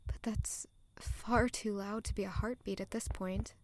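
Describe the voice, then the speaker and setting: An actress playing a playful, observant young noblewoman in an audio drama, with a soft, expressive delivery. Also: mid-range voice, unhurried, whispered, lightly acted. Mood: hushed, intimate. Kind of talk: audio drama dialogue and first-person narration.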